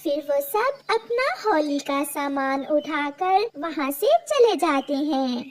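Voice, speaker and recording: A woman's voice: high-pitched, lively intonation, slow, a crowd in the background. A woman narrating a scripted children's cartoon story.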